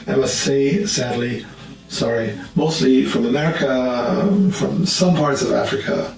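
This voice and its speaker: A man's voice: mid-range voice, measured pace, gravelly, heavy reverb, far from the mic, soft background music, low-quality recording. A man with a German accent teaching earnestly and plainly about money and faith from his office, often restarting his sentences. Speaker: Bible teacher. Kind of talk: informal teaching talk to camera.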